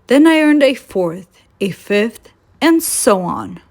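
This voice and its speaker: A woman's voice: a little high, sing-song, slow pace, vocal fry, darkish tone, exaggerated voice. A woman voicing a big, friendly male train in a children's storybook, hearty and patient. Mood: patient, encouraging.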